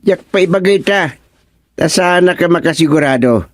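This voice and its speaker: A man's voice: a little high, normal speed, no room sound, studio quality, natural voice. An actor playing a scheming, competitive village chairman in a radio comedy drama.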